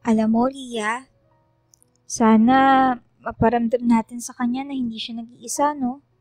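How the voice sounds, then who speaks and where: Woman playing a very high-pitched, caring schoolgirl friend. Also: fairly even intonation, measured pace, darkish tone, dry recording, soft background music, natural voice. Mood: sympathetic. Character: Ella, concerned, kind-hearted schoolgirl friend. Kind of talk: conversational radio drama acting.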